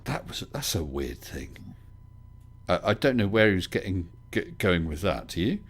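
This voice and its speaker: A low-voiced man hosts a live call-in stream, his pitch rising and falling a lot as he speaks. He welcomes callers and answers them with dry, wry jokes. His talk is casual and unscripted. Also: very animated, normal speed, a little hiss or hum. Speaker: livestream host.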